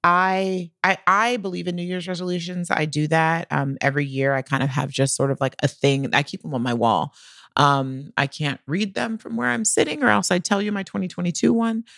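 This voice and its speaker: A woman's voice: fairly low pitch, fairly quick, creaky, dry recording, studio quality. A woman co-hosting a podcast, telling personal stories in a casual, giggly, slangy way. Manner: giggly, animated retelling with acted-out quotes; informal, slangy, peppered with like and swearing. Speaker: podcast co-host. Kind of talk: casual personal storytelling chat.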